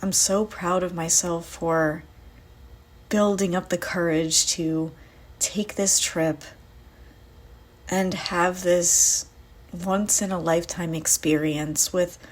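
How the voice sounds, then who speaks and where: A woman leading a guided visualization in a soft, breathy, soothing voice, leaving long pauses between phrases. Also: lowish voice, steady tone. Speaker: guided meditation host. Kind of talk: guided visualization meditation, first-person.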